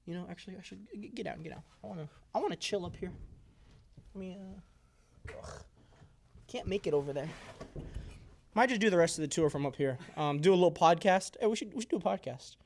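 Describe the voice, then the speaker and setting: A man's voice: high voice, lively intonation, a little fast, vocal fry, pauses often, darkish tone, slight background noise. A man in his early twenties giving a casual, off-the-cuff tour of a workshop, rambling in a jokey, self-deprecating way with playful exaggeration. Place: indoor workshop.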